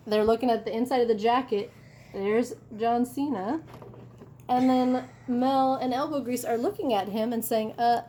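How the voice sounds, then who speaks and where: A woman reading a children's story aloud expressively, in an animated way, pausing for asides to young listeners. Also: fairly high pitch, a little hiss or hum. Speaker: teacher reading a picture book aloud.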